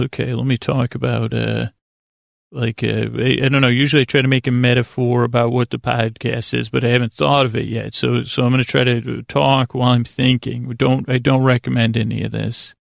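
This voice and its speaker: A man with a creaky voice hosting a sleep podcast, delivering a hesitant, meandering, self-correcting bedtime monologue in a lulling, soothing way. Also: medium pitch, steady tone, a little fast, dry recording, studio quality.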